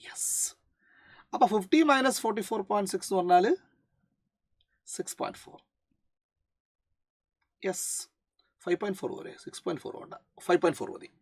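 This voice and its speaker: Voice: medium pitch, bright, forceful tone. A physics teacher with a Malayali accent works through a problem in a mid-range voice. The delivery is explanatory, switching into English for technical terms and often checking with short questions. The sound is dry, with very little room echo.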